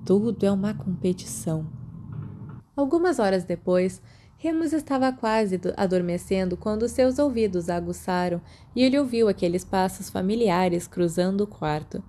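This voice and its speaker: A Brazilian woman narrating a fan fiction audiobook in a soft storytelling manner, voicing the dialogue as she goes. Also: medium pitch, normal speed.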